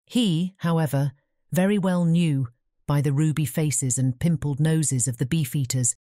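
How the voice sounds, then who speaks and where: A woman narrating a fairy tale audiobook in a measured, formal storybook reading. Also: sits low, mellow, no room sound, studio quality.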